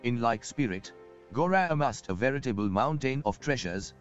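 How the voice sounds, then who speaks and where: Game merchant, male, acted: polished, self-assured, archaic and eloquent speech, mid-pitched, faint music underneath. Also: animated, moderate pace, close to an everyday voice. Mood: solemn, reflective. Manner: formal, eloquent, archaic phrasing. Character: courtly, self-assured wealthy merchant, risen from poverty. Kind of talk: scripted video game dialogue. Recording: muffled game audio with background music.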